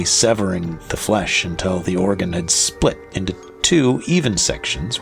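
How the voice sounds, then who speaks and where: Low, gravelly male horror narrator. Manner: measured, deliberate, dramatic. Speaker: story narrator. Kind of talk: scripted horror story narration. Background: faint music.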